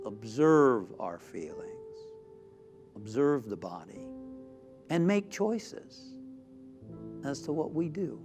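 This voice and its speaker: Male meditation leader, mid-pitched, slow and deliberate spiritual delivery with soothing pauses, clear musical bed. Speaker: minister leading a guided meditation. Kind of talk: guided meditation, spiritual talk. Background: background music.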